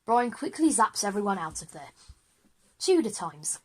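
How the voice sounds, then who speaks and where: A woman's voice: mid-range voice, very animated, normal speed. A woman narrating an audio drama for children, reading out scene headings and action. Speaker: narrator and performer of all parts.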